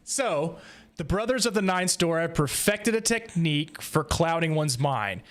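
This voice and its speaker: A man's voice: fairly high pitch, animated, measured pace, a bit bright. A man hosting a casual roundtable podcast, explaining and steering the discussion in a conversational way. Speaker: podcast host leading the discussion.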